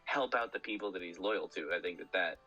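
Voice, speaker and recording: A man with a low voice and a bright, forceful edge hosts a casual chat. He talks in an analytical, conversational way, with soft game music in the background. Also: fairly quick, slightly rough, lo-fi sound. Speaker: podcast host. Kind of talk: casual chat about cartoons.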